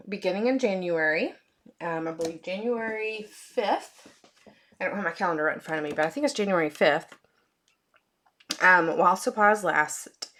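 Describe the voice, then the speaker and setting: A woman with a hoarse, fading voice, chatting casually with frequent fillers as she demonstrates card-making at her crafting desk. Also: medium pitch, a bit bright.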